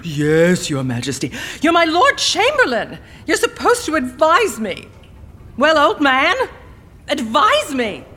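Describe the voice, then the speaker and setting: A haughty, high-voiced, theatrical queen. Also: huge pitch swings, slow pace, pushed and bright, exaggerated voice. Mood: fretful, exasperated. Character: vain, breezy queen mother hiding panic, dismissive. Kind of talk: audio drama acting.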